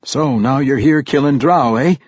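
A dwarf king , as a male narrator puts him on, speaks in a firm dwarvish brogue. His voice is raised, loud and husky. The studio sound is dry and slightly dull. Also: medium pitch, expressive, a little slow, no room sound, voice pushed up.

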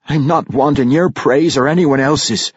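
Man voicing a furious young dwarf, raised husky voice. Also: medium pitch, unhurried, dry recording, lo-fi sound, voice pushed up. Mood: explosive anger. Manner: defiant, explosive, rough rustic speech. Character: bitter, angry young dwarf son with old warrior's soul.

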